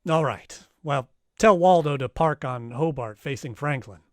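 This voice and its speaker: An actor playing a tough, wry private detective in a 1940s-style radio drama, narrating and trading lines. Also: male voice, on the high side, measured pace, no room sound, lightly acted. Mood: dry, businesslike.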